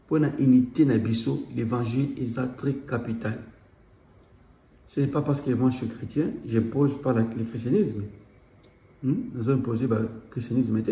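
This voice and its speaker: A Congolese-accented man speaks with fervor, driving points home by repeating them. His voice is dark and mellow at a middle pitch. The sound carries a roomy echo. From Democratic Republic of the Congo. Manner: exhorting, emphatic, repeats key phrases. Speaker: community speaker. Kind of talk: impassioned public address.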